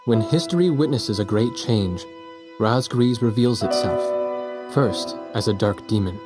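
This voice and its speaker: A man's voice: fairly low pitch, a little slow, lightly acted. A man playing an earnest young war journalist in a game, narrating reflectively and asking sincere questions. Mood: reflective, somber. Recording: music behind the voice.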